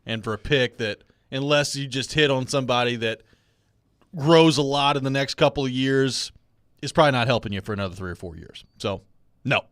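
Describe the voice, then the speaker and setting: A man's voice: mid-range voice, brisk, a bit bright, smooth, no room sound, clean studio sound. A man hosting a sports radio show in casual, conversational talk. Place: dry radio studio.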